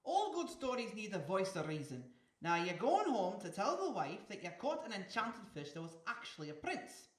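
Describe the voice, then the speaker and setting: A woman's voice: mid-range voice, very animated, moderate pace, close to an everyday voice. A woman voicing a pushy, teasing talking seagull with an exaggerated Scottish accent in a comic audio story, recorded with room echo. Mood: advising. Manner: overblown, teasing, scolding. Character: pushy small talking seagull, self-appointed voice of reason.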